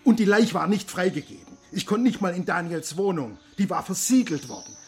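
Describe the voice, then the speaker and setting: A man's voice: sits high, very animated, fairly quick, slightly rough, soft background music, exaggerated voice. An actor playing a distraught middle-aged father in a radio play, agitated, faltering and full of complaint. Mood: shaken, agitated.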